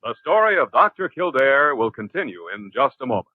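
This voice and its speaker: A male radio announcer with a formal delivery and a lively pitch. He speaks over clear music, and the sound is tinny like an old broadcast. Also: brisk, no echo.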